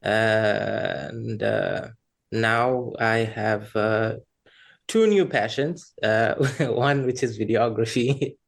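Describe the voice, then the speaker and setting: A man's voice: lowish voice, extremely slow, creaky. A man from Rwanda chatting casually as a podcast guest, candid and self-deprecating. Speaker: podcast guest, freelance creative. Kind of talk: casual personal chat.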